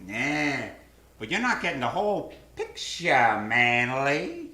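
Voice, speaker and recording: Brash wisecracking carny, played by a man, theatrical old-time radio style, sharp voice, echoey stage sound. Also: mid-range voice, slow pace, exaggerated voice. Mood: brash, teasing. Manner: slangy, animated, theatrical. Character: wisecracking male traveling-circus carny, brash and slangy. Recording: roomy live stage pickup.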